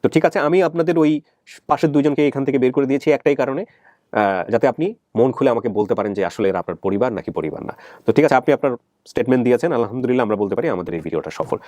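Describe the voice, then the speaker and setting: A man's voice: medium pitch, very fast, a little mellow, dry recording. A man with a Bangladeshi accent speaking as a show host, earnestly explaining things to a guest and mixing in English words. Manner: mixes English words into speech. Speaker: host of a family reunion show.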